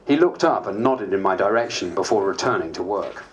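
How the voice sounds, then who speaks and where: A man's voice: deep voice, lively intonation, fairly quick. A man playing a harried, self-mocking MP, narrating a dramatised diary in a dry, wry way. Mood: wry, weary. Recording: faint background noise.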